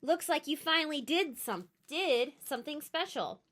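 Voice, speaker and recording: A woman plays a cocky big brother from a storybook, a boy who teases and crows with excitement. The voice is bright and high, with lively swings in pitch. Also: moderate pace. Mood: gleeful. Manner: teasing, excited shouting. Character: boastful, teasing older brother, an excitable boy. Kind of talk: storybook read-aloud character voice.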